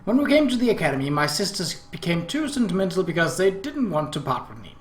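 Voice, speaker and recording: A man's voice: high-pitched, very animated, fast, soft background music. A man hosting a let's play, reading a visual novel aloud and adding his own commentary. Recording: roomy echo, faint background noise.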